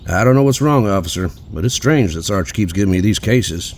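A man's voice: on the low side, animated, brisk, a little mellow, slight background noise. An actor playing a smug, crude male police detective in an audio drama, casual and dismissive. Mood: puzzled, casual. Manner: casual, cocky, brushing people off.

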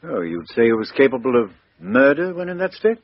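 A dry, muffled vintage radio recording of a British police inspector. He is brisk, courteous and businesslike, with a very animated, swooping intonation. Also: mid-range voice, no room sound. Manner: businesslike, questioning, hesitant fillers. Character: brisk, courteous male English police inspector, doggedly questioning. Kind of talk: 1950s radio mystery acting.

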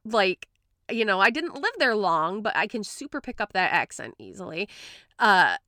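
A woman's voice: medium pitch, big pitch swings, normal speed, bright, forceful tone, dry recording, clean studio sound. A woman podcast co-host from Michigan with a Western Michigan accent that has a touch of the Upper Peninsula, chatting casually, swearing freely and laughing often. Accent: Western Michigan, Upper Peninsula tinged.

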